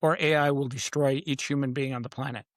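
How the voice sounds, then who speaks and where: A man's voice: medium pitch, fairly even intonation, quick pace, dry recording, studio quality. A man speaking as an interview guest, conversational but emphatic, in short punchy declarations with dramatic repetition. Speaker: podcast guest, author.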